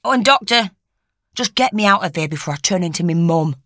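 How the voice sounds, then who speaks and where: A woman voicing a cheeky, brisk young female care worker in an audiobook, chatty and colloquial, often exasperated. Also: on the low side, lively intonation, sharp tone, dry recording, clean studio sound.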